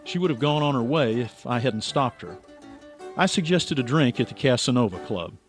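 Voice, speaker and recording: A persistent, suspicious investigator played by a man in an old-time radio drama. He is probing and matter-of-fact in a medium-pitched voice, with music clearly behind. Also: animated, normal speed, lightly acted. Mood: reflective.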